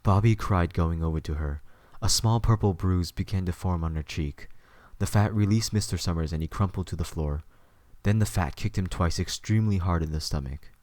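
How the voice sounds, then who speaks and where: A man's voice: deep voice, normal speed, darkish tone, no echo, clean studio sound. A man narrating a scripted story for an audio drama.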